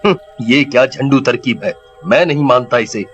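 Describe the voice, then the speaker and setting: Crude village husband for a cartoon tale, done by a man: dismissive, bossy, gruff, with music behind. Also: mid-range voice, normal speed, dull audio, natural voice. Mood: dismissive, irritated.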